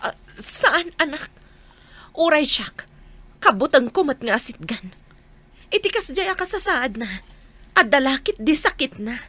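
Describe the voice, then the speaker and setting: A woman's voice: high-pitched, sing-song, measured pace, pauses often, pushed and bright, exaggerated voice. A woman with an Ilocano accent playing an anxious, protective young mother in a radio comedy drama. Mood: worried, explaining.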